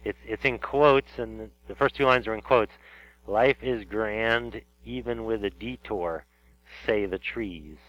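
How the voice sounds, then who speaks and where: A man interviewing a guest on the radio, speaking a little low and circling his points with fillers and restarts. The audio is dull and lo-fi, like an old radio broadcast. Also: a bit bright. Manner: rambling, halting, many fillers and restarts. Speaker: interview host. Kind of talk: casual interview.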